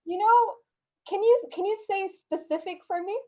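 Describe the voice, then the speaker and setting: A woman's voice: extremely high pitch, moderate pace, darkish tone, highs cut off. A woman interviewing her former lecturer in a playful, giggly way. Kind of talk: casual interview.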